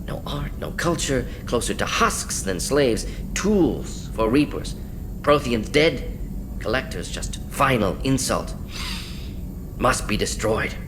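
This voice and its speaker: An actor playing a brisk, brilliant alien scientist in a video game, speaking in clipped, blunt fragments with small words dropped. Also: male voice, expressive. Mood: brisk, intent. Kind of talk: video game character acting. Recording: clear rumbling noise behind voice.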